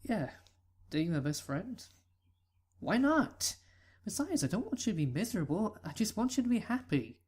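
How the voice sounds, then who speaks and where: A man's voice: sits high, very animated, normal speed, darkish tone, husky, voice pushed up, exaggerated voice. Brief: easygoing young unicorn buddy, breezy and teasing, raised voice that sounds set back, voiced by a male reader. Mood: reassuring. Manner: laid-back, joking.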